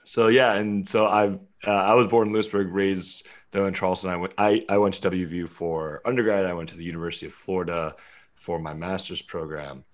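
A young man from West Virginia with a very low voice talks loosely about himself as an interview guest. He circles back, restarts his sentences and leans on fillers as he goes. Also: steady tone, brisk. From West Virginia, USA. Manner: rambling, self-correcting, many fillers. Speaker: guest filmmaker and actor. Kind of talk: casual interview.

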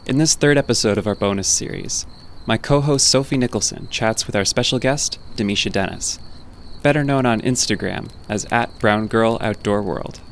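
A man co-host reading a calm, friendly podcast introduction. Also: lowish voice, moderate pace, slight background noise.

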